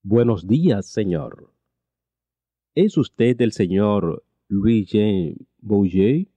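A man teaching a language lesson, reading each phrase slowly and repeating it twice. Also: lowish voice, expressive, mellow, dry recording. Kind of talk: slow repeated translation drills.

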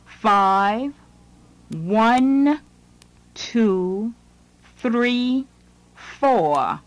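A woman's voice: medium pitch, no room sound. A woman reading English lines in a scripted language lesson, phrase by phrase. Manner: slow, deliberate, phrase by phrase.

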